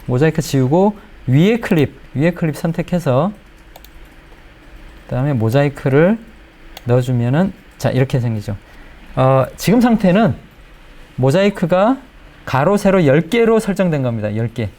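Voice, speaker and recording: A middle-aged man giving a spoken software tutorial as an editing instructor, explaining step by step and checking in with questions. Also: fairly high pitch, big pitch swings, moderate pace, long pauses.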